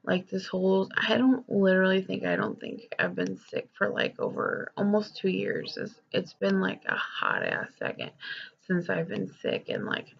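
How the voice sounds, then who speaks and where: A woman's voice: mid-range voice, very animated, moderate pace, creaky, husky, highs cut off. A young woman talking candidly in a personal vlog, rambling with lots of fillers and swearing, her voice nasal and stuffed up from a cold. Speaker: vlogger.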